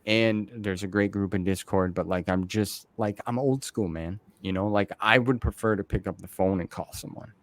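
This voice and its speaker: Male interviewer, lowish pitch, informal and slangy, chatting casually on a close, dry recording. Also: normal speed, hardly any pauses, no room sound. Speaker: interview host.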